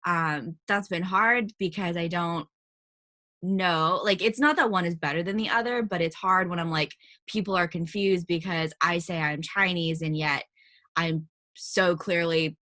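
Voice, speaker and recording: A young woman co-hosting a podcast, explaining her thoughts in a relaxed, casual way. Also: on the low side, a little slow, no room sound, studio quality. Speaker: podcast co-host. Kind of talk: casual chat.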